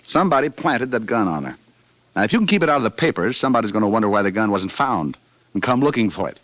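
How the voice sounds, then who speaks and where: An actor playing a slick, wisecracking private detective in 1940s radio style, sardonic and flirtatious. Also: male voice, lowish voice, sing-song, quick pace, fairly bright tone, no echo, lo-fi sound. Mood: earnest, insistent. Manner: sardonic, flirtatious banter and first-person narration. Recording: 1940s radio broadcast with music.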